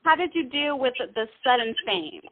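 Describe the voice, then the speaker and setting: A woman's voice: high voice, very animated, moderate pace. A woman hosting a magazine interview, asking short upbeat questions. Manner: upbeat, brief questions and backchannels. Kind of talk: phone interview. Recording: tinny phone line.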